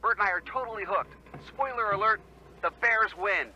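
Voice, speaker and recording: Young American man, upbeat game boyfriend, very bright lively voice, chatty and earnest, phone call with slight noise. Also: high-pitched, normal speed. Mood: reassuring. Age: young adult.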